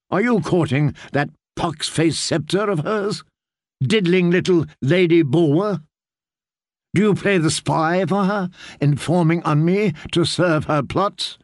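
Audiobook figure: scornful commanding queen, man reading her, unhurried, cutting, close dry booth. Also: on the high side, no room sound. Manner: cutting, mocking, interrogating. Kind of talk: audiobook character voice.

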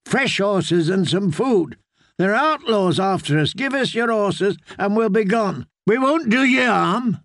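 A man voicing a wounded male outlaw pleading in rough, rustic speech. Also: high voice, lively intonation, a little slow, fairly bright tone, no room sound, studio quality, pitched above the performer's own voice, heavily acted.

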